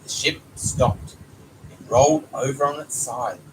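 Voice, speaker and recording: A man's voice: medium pitch, measured pace. A man reading a children's storybook aloud as a father would to his child, with short asides. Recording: noisy home recording with background sounds. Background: clear ambient noise, young child nearby.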